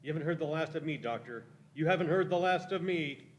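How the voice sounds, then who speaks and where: Scheming vengeful villain, acted by a man, slightly high, theatrical threats, radio drama with faint noise. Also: a little fast, natural voice. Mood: thwarted, threatening. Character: vengeful, scheming male villain, the thwarted Monk. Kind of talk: live staged radio play.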